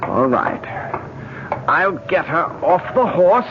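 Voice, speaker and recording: An actor playing a cold-blooded, scheming murderer in an old-time radio drama. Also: male voice, a little high, big pitch swings, slow. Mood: grim. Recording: very noisy, dull vintage radio recording.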